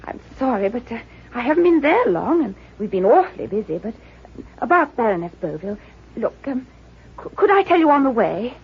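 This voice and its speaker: Flustered, very husky young secretary. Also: female voice, on the high side, big pitch swings, brisk, exaggerated voice. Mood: anxious, urgent. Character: eager, polite, flustered young female secretary. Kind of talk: old-time radio mystery acting. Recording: dull vintage radio recording.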